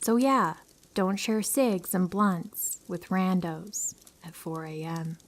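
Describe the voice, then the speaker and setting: A woman calmly reading true horror stories aloud as a narrator, in an even storytelling manner. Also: on the low side, slow.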